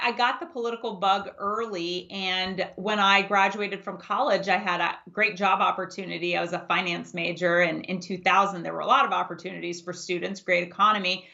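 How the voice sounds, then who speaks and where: A woman in her forties, a native Farsi speaker raised in the United States, giving steady, emphatic answers as a political candidate in an interview. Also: medium pitch. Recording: dull lo-fi audio.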